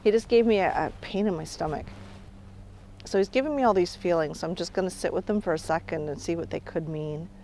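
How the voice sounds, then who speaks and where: A middle-aged woman working as a spirit medium, talking calmly outdoors on an island and often trailing off quietly. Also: lowish voice, fairly quick, a little hiss or hum. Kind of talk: on-location reality show narration.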